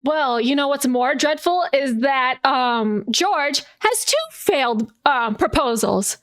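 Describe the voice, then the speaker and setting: A woman plays a tabletop role-playing game, talking in a casual, joking way and swearing freely. Her voice sits high. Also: lively intonation, takes it slowly. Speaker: player.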